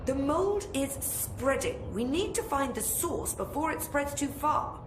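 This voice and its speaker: A woman's voice: high-pitched, sing-song, unhurried. A haughty female researcher with a sharply rising and falling delivery, curt and condescending. She sounds like she's in an echoey room, with some noise behind her. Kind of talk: video game cutscene acting.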